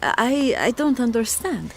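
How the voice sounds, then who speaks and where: A tense proud mom in an audio drama, higher voice, very expressive, uneasy. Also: female voice, a little slow, creaky, full character voice. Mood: guarded, uneasy. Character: tense, proud mother uneasy about her son. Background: background music.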